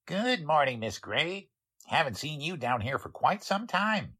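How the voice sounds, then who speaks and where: A man's voice: on the high side, sing-song, measured pace, pushed and bright, husky, full character voice. A man playing a chatty, deferential bank attendant in a folksy, plain-spoken 1940s radio drama style.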